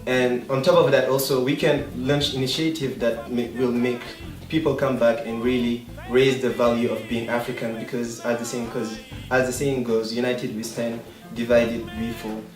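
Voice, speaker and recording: A man's voice: medium pitch, little pitch movement, normal speed, hardly any pauses. A young man from Senegal giving an earnest opinion as a youth speaker. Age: young adult. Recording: echoey room, faint music behind.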